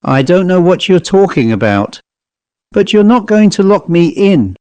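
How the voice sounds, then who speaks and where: A man voicing a wary, commanding gentleman traveller who speaks concisely and challengingly in an audiobook story. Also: high voice, big pitch swings, moderate pace, smooth, no room sound, voice pushed up.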